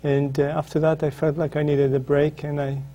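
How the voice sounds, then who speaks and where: Hesitant Israeli man, dark and flat. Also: fairly high pitch, moderate pace. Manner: hesitant, many ums and restarts. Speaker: author, interview guest. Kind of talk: reflective interview answers.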